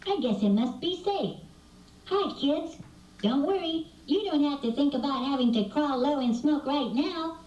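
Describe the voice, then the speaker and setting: A woman's voice: high voice, animated, lo-fi sound. A woman voicing a cheerful puppet animal that speaks and sings to children in a fire safety show, on an old VHS tape. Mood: reassuring. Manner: slow, instructive, addressing children. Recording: old VHS tape, roomy echo, loud music. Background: loud music.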